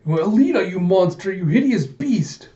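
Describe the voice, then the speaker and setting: A defiant, anguished young woman from a comic story, played by a man, cries out melodramatically. The voice is pushed high and loud, with a dull, roomy recording. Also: animated, a little slow. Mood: outraged. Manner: melodramatic exclaiming. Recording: dull, echoey room recording.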